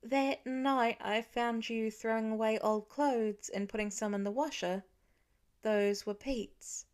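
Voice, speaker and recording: A woman voicing an earnest teenage son who speaks haltingly as he works things out in an audiobook. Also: a little high, steady tone, darkish tone, clear, resonant tone. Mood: hesitant. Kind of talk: acted audiobook dialogue.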